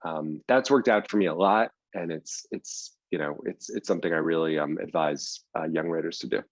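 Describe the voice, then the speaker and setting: A man's voice: extremely low pitch, moderate pace, dry recording. A man answering as an interview guest in a conversational, explanatory way, stressing points by repeating them. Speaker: guest, screenwriter and audio drama producer.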